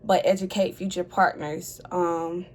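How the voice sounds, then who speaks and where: A woman's voice: fairly low pitch, fairly even intonation, a little slow, noisy background. A woman in her twenties speaking as an advocate, composed and articulate, telling her story in an interview. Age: 20s. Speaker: HIV advocate. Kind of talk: interview testimony.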